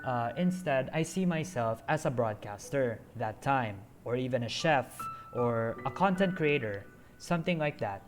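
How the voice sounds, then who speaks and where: A man streams a story game and talks over it casually, with slow, halting speech. He rambles and fills his pauses with ums and uhs. Faint music plays behind him. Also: medium pitch, animated. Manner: rambling, hesitant, many fillers.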